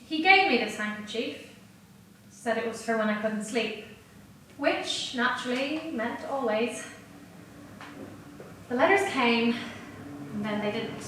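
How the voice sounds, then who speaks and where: A woman's voice: a little high, lively intonation, unhurried, long pauses, fairly bright tone, a little hiss or hum. A woman playing an earnest, wounded, analytical mathematician in a dramatic staged reading, reflective and hesitant. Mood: wistful, hurt. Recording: very echoey room, distant mic, music.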